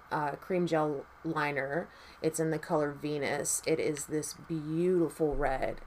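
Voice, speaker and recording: A woman with a raspy voice doing her makeup and chatting casually, tired, rambling and swearing freely. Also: sits low, slight background noise. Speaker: makeup vlogger.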